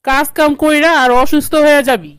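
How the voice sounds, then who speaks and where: A woman's voice: high-pitched, fairly quick, a bit bright, no room sound. A woman voicing a bossy, fussing grandmother in a comedy cartoon, with a Bangladeshi accent and a commanding, insistent manner. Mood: bossy, scolding. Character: bossy, fussing grandmother, doting mother-in-law. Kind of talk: comedy cartoon dialogue in colloquial dialect.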